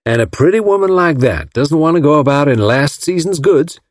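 A man voicing a wealthy, folksy gentleman from the South, talking effusively. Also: a little high, expressive, moderate pace, no room sound, studio quality, voice pushed up, natural voice. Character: wealthy Alabama gentleman, gushing and admiring.

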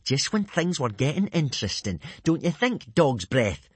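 A man's voice: medium pitch, sing-song, measured pace, breathy edge, no room sound, pitched above the performer's own voice. A man voicing a boastful, sneering teenage Viking bully in a children's audiobook, drawling and self-satisfied. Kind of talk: children's audiobook character acting.

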